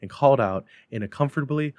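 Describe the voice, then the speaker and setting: A man reading a classic story aloud as narrator, in an expressive, measured, dramatic style. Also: mid-range voice, dry recording. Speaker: narrator, library staff reader.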